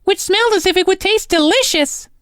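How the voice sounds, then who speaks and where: A man voicing a sweet, eager female rag doll in a squeaky falsetto with a childlike, earnest manner, for a storybook. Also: brisk, fairly bright tone, dry recording, studio quality.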